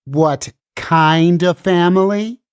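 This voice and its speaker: A woman's voice: fairly low pitch, slow, clear, resonant tone, dry recording, studio quality. A woman playing a frantic, world-weary banned book in a musical puppet show, urgent and dramatic as it warns and interrogates. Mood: pressing, sardonic.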